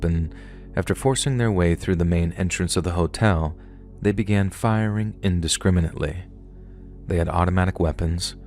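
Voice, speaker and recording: A man narrating true horror stories submitted by viewers, in a measured, unhurried way. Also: low voice, a little mellow. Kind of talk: scripted horror story narration.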